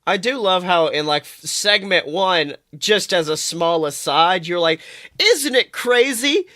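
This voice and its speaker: A man co-hosting a podcast in casual comedic chat, swearing freely with animated exclamations. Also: sits high, a little slow. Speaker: podcast co-host.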